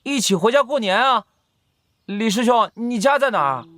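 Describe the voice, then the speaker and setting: Anxious high-pitched young disciple. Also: male voice, animated, normal speed, fairly bright tone. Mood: anxious, urgent. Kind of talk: audio drama acting.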